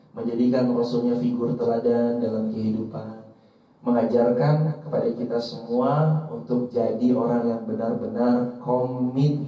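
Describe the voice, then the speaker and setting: Mellow-voiced male preacher in echoey room. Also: mid-range voice. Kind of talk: sermon to an audience, quoting and translating Arabic scripture. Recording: roomy echo, distant mic, slightly dull.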